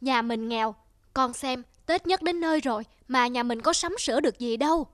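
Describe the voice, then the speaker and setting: A woman playing a poor, self-sacrificing elderly mother in an animated moral tale, patient, plaintive and weary. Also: high voice, normal speed, dry recording, clean studio sound, natural voice. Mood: pleading.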